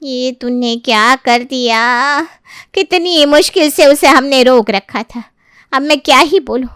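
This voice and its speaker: A woman's voice: high voice, a little slow, fairly bright tone, smooth, no echo, studio quality. A woman playing an exasperated, bossy older mother-in-law in an audio story, reproachful and questioning. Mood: dismayed, stern.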